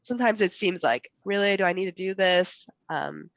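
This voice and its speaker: A woman on a panel with a cold and a voice that is going, giving advice haltingly with many fillers. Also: lowish voice, creaky, dry recording. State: fighting a cold, losing her voice. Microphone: video call. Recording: dull dry video call audio.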